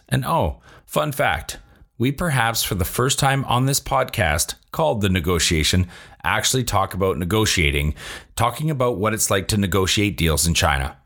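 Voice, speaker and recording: Husky-voiced man hosting a podcast. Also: deep voice, fairly bright tone. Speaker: podcast host. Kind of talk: podcast interview and scripted intro.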